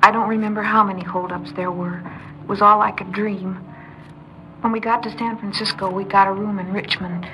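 A woman's voice: medium pitch, steady tone, brisk, sharp tone, a little hiss or hum, natural voice, low-quality recording. An actress playing an anxious, devoted young woman in an old crime radio drama, with an American accent and an earnest, pleading, emotional delivery. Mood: haunted, subdued. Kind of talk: mid-century American crime radio drama acting, confessional narration and dialogue.

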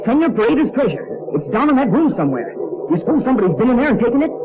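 An actor playing an eager, excitable teenage sidekick, exclaiming in 1940s radio acting from an old broadcast. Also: male voice, very high voice, very fast, phone-quality sound, noisy background. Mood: excited. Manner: excited, wide-eyed exclamations.

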